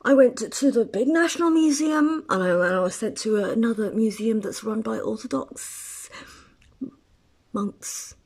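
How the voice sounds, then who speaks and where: A woman's voice: fairly high pitch, normal speed, a little mellow. A woman from Eritrea talking at length in a casual personal monologue, rambling with restarts and asides. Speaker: writer.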